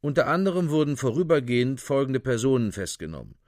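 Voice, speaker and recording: An actor narrating a radio play like an official report, factual and clipped. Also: male voice, medium pitch, very animated, quick pace, darkish tone, no room sound, studio quality, natural voice. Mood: matter-of-fact. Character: matter-of-fact male chronicler reporting official findings.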